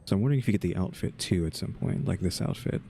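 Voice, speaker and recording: A man's voice: sits low, animated, quick pace, warm tone, slight background noise. A man giving casual, laid-back gameplay commentary, musing aloud as he plays. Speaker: gamer, video creator.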